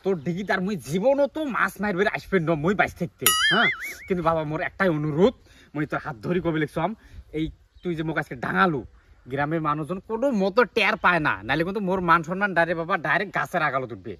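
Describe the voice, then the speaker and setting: Man playing a blustering, high-pitched village uncle. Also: expressive, quick pace, bright, forceful tone. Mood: pleading. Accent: northern Bengali regional. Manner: indignant, blustering, scolding. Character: cantankerous village elder uncle caught fishing in another's pond. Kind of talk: amateur comedy skit acting. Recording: clearly noisy amateur video sound.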